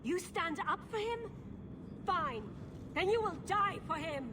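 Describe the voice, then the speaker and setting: A woman's voice: extremely high pitch, animated, slow pace, background noise, heavily acted. An actress playing a bitter, grieving elf woman bent on revenge in a fantasy video game, accusing and intense. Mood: bitter, vengeful. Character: vengeful grieving young female elf, bitter. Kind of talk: video game cutscene acting. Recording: music under the voice.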